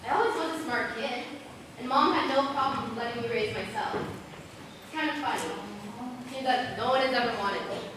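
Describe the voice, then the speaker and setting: A woman's voice: on the high side, normal speed, recorded from a distance, noisy background, close to an everyday voice. A woman playing a guarded, defiant, neglected teenage schoolgirl in an amateur stage drama, recorded on an echoey stage. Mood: wounded, resigned. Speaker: actor in a school play.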